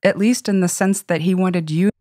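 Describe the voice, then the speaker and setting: Low, mellow woman reading fiction. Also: fairly even intonation, normal speed, no room sound, clean studio sound. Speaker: fiction podcast voice performer.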